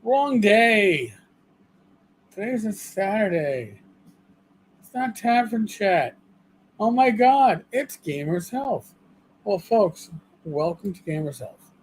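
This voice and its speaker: A man in his fifties hosts a livestream, his voice sitting fairly high. He talks at an unhurried pace, rambling and poking fun at himself, often stopping to restart a sentence. A faint hiss runs underneath. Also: sing-song, low-quality recording. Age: 50s. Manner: rambling and self-deprecating, with halting restarts. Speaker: livestream co-host. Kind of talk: casual chat.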